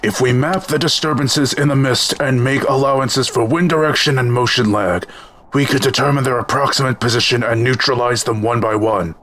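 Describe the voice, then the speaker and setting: A man playing a learned, self-assured robot scholar with a measured, pedantic delivery in an audio drama. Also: mid-range voice, fairly even intonation, a bit bright, slight background noise, close to an everyday voice. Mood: calm, lecturing.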